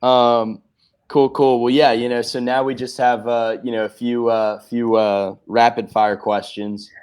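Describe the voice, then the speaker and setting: A man's voice: medium pitch, little pitch movement, a little slow, darkish tone, clear, resonant tone. A man with an American accent hosting a casual interview in a laid-back, affirming way. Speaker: interview host.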